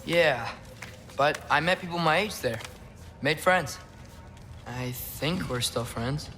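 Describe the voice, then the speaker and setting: A man's voice: fairly high pitch, slow pace, sharp tone, slight background noise, heavily acted. A young man playing an earnest, secretive teenage boy in a video game, casual and a little hesitant. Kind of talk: naturalistic video game cutscene acting.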